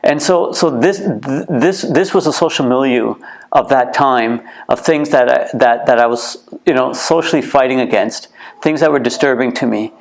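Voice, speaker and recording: Canadian guy, middle-aged, gravelly voice, keeps repeating words as he restarts, sounds earnest. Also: medium pitch, moderate pace, rough recording. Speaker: museum co-founder, interview guest. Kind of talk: reflective interview answers. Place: heritage museum.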